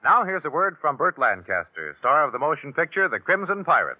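Animated, higher-voiced male radio announcer. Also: a little fast. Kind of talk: 1950s network radio announcing. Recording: tinny old radio recording with music.

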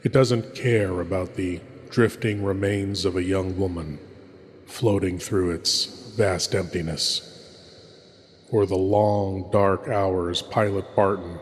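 A low-voiced man speaks very slowly as a theatrical horror host, ominous and dramatic. Long reverb surrounds his voice, with faint music behind. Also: steady tone. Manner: ominous, dramatic, drawn-out phrasing. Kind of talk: theatrical scripted horror hosting.

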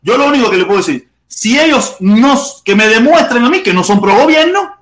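Male host, high voice, echoey room with crowd noise; emphatic and wry. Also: lively intonation, a little fast. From Cuba. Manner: emphatic, repeats phrases, jokes wryly. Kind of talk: live talk show.

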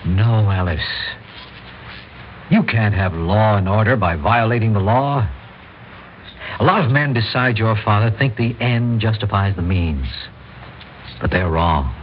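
Actor as principled American lawyer on muffled old radio. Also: male voice, lowish voice, unhurried, long pauses, close to an everyday voice. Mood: grave, resolute. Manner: formal, emphatic, oratorical. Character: Jay, principled, defiant lawyer confronting vigilantes. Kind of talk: mid-century American radio drama acting. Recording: muffled old radio recording with faint noise.

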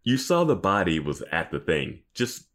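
A man's voice: low-pitched, sing-song, normal speed, a bit bright. A man co-hosting a podcast in casual, joking commentary, riffing with mock quotes and plenty of filler "like". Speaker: podcast co-host.

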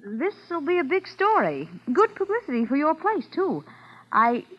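An evasive, determined woman in old-time radio style. Her voice is high and moves a lot in pitch. The recording is muffled and old. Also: big pitch swings, a little slow. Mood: hesitant, probing. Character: evasive, determined woman in a vintage crime drama.

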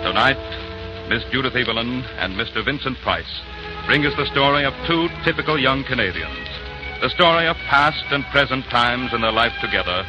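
A man's voice: mid-range voice, low-quality recording. A man narrating a 1940s radio broadcast as announcer, in a formal, solemn manner. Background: music.

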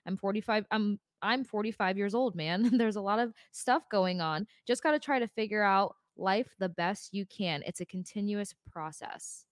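A woman's voice: mid-range voice, normal speed, hardly any pauses, no echo, studio quality. A woman co-hosting a sports podcast, giving casual, conversational analysis and reaction with emphatic points.

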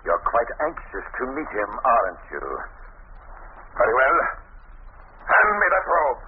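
A man's voice: mid-range voice, huge pitch swings, a little slow, gravelly, exaggerated voice. An actor playing a sinister, mad architect posing as a ghostly abbot in an old radio thriller, theatrical and mock-polite in his menace. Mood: gloating, manic. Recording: scratchy, noisy, narrow-band old radio recording.

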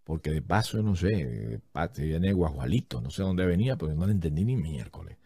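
A man's voice: extremely low pitch, sing-song, fairly quick, warm tone, breathy edge, no room sound. A man telling a story in a casual, comedic way, animatedly acting out what other people said.

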